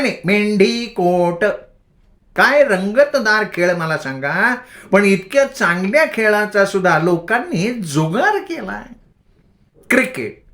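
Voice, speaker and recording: A man's voice: fairly bright tone, heavily acted. A man doing a cackling eccentric village woman. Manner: slow, sing-song, theatrical with cackling pitch leaps. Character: eccentric middle-aged village woman preaching against gambling.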